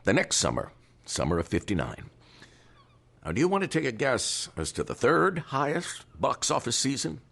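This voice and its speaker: A man playing an older theater owner in an audio drama, formal and candid, speaking with measured authority. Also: mid-range voice, huge pitch swings, vocal fry, fairly bright tone, heavily acted. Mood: pleased, proud. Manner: measured, formal, patronizing yet appreciative.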